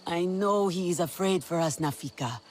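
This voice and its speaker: A woman's voice: on the low side, normal speed, faint music behind, close to an everyday voice. An actress playing a determined female alien clan leader in a video game cutscene, speaking in a resolute, encouraging way.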